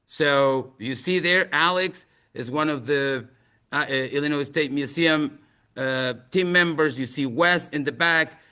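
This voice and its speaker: Male presenter, Chilean accent, native Spanish speaker. Slightly high, bright forceful voice, deliberate and earnest delivery. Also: smooth. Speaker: museum outreach presenter. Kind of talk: presentation to an audience with slides.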